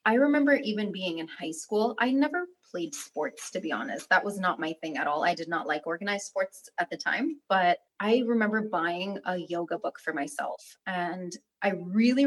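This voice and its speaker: A young woman who grew up partly in Albania speaks as an interview guest. She tells her story frankly and with animation, in a relaxed conversational way. Her voice sits at a middling pitch. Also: moderate pace, hardly any pauses, poor audio. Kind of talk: casual interview talk.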